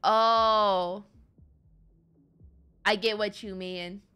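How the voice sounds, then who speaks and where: A woman's voice: mid-range voice, extremely slow, clear, resonant tone. A woman chatting casually on a game livestream, talking playfully to her viewers. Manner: animated, playful, chatty, addresses viewers as gamers and guys. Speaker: game streamer. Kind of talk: casual livestream chat over gameplay. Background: game music.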